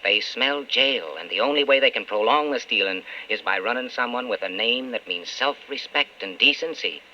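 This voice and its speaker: A man's voice: mid-range voice, expressive, moderate pace, bright, forceful tone. An actor playing a principled, wistful man in love, speaking earnestly in an old-time radio drama. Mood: earnest, urgent. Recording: muffled old radio broadcast with hiss.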